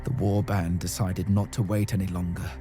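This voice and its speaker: A man's voice: low-pitched, level tone, moderate pace, dark tone, close to an everyday voice. A man playing a devout, brooding medieval warrior, narrating a quest solemnly in a video game. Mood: grim. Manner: solemn, pious. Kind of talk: dramatic scripted narration in a game. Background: music.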